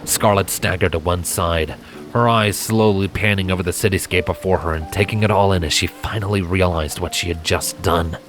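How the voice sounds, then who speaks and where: A man's voice: deep voice, fairly even intonation, normal speed, background noise. A man reading fan fiction as a dramatic, scripted storybook narration. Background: music and rain effects.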